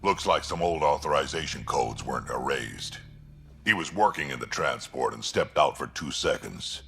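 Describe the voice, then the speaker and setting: An actor playing a gruff, blunt old krogan alien warrior with a raspy, gravelly growl in a video game. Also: male voice, extremely low pitch, expressive, moderate pace, sharp tone, slight background noise, heavily acted. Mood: grave, urgent.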